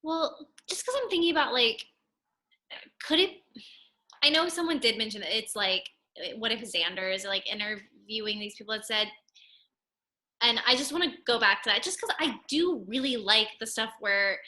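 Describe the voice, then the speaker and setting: A woman's voice: on the high side, moderate pace, pushed and bright. A woman in a casual group discussion, hesitantly thinking aloud as a cast member giving notes.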